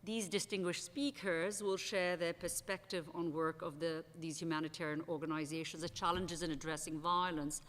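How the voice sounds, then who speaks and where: A woman with a low voice introduces speakers at an academic panel, talking loosely and often restarting her sentences. She speaks into a table microphone on a big auditorium stage, and the sound carries a long hall reverb. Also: steady tone, normal speed, hardly any pauses, fairly bright tone. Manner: conversational, with frequent restarts and "uh"s. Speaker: session chair. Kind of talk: panel moderation at an academic conference.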